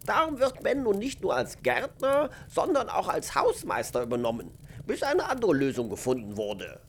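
An actor playing a kindly, jovial old gardener in a radio play, with a creaky, aged voice and a gently teasing, avuncular manner. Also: male voice, sits high, wildly swooping pitch, moderate pace, slight background noise, full character voice. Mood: friendly, explaining. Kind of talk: German radio-play acting.

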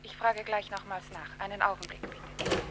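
A woman's voice: medium pitch, fairly even intonation, normal speed, fairly bright tone. An actress playing a polite, formal switchboard operator in a radio drama, heard through a telephone line. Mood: formal, neutral. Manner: formal, businesslike. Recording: distant, noisy telephone line with hum.

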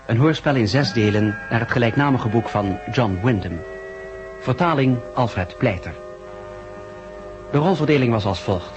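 Casting: male radio announcer, measured formal credits read, muffled vintage sound, music underneath. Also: mid-range voice. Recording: dull old radio recording.

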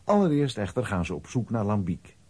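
Low, mellow male storyteller. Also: fairly quick, husky, dry recording. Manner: expressive storytelling. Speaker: narrator. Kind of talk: scripted story narration for a children's radio play.

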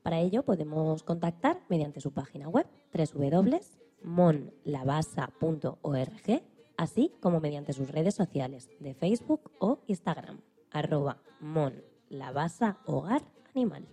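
A woman with a low, dark-toned voice co-presents a radio programme from a script. Music plays behind her. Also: animated, unhurried, slightly rough.

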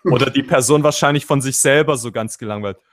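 A man's voice: mid-range voice, quick pace. Man, Swabian accent, casual panel chat, video call, rambling, restarts sentences. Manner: rambling, self-interrupting, acts out quotes. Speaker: panel guest.

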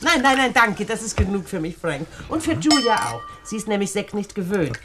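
An actress playing a wealthy, fussy, condescending older aunt in a radio play, speaking in a bossy, sweetly patronising way. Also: female voice, medium pitch, sing-song, brisk, noisy background, natural voice. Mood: gracious, eager. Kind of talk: German radio play acting.

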